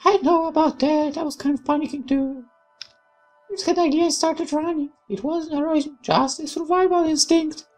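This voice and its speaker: A woman's voice: high-pitched, steady tone, normal speed, soft background music, pitched above the performer's own voice, close to an everyday voice. A woman voicing a bold but frightened young woman in a visual novel, urgent and emotional. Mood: shaken, soft. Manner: shouts orders, then confides timidly.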